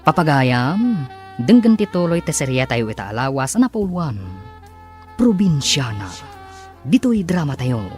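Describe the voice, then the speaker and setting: Male radio drama narrator, Filipino accent, scripted, dramatic, lively intonation, music underneath. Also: fairly high pitch, unhurried, long pauses. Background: music behind the voice.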